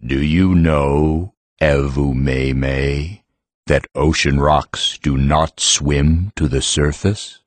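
A man playing an ancient, patient mountain spirit, solemn and gently joking, in an audiobook. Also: extremely low pitch, steady tone, takes it slowly, no room sound. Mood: solemn teasing. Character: ancient male living mountain heart, small crystal bear, patient. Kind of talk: audiobook character acting.

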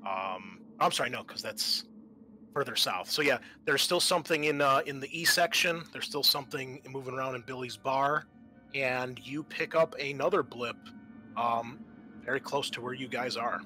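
A man working as game master, improvising narration for a tabletop roleplaying game in a halting, thinking-aloud way. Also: mid-range voice, pushed and bright, faint music behind.

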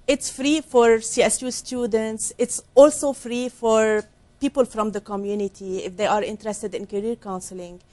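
A middle-aged woman from Lebanon, explaining her work as a career counselor in a soft, earnest way during a studio interview on a lapel mic. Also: on the high side, fairly even intonation, normal speed. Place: small TV studio set, seated at a desk.

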